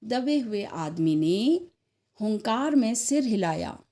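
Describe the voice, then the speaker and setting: A woman narrating a scripted story as an expressive storyteller. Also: a little high, slow.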